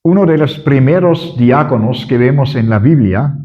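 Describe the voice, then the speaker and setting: A man preaching a sermon at a church conference in Spanish, which is not his first language, speaking slowly and haltingly with many fillers and restarts. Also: mid-range voice, expressive. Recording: dull lo-fi recording.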